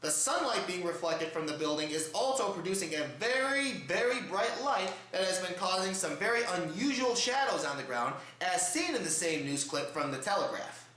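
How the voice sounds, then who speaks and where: A man delivers mock news commentary as the host of a satirical report. His voice is bright and forceful, with a lively, theatrical rise and fall. He addresses the audience formally, and the room around him is very echoey. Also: high voice, normal speed, few pauses.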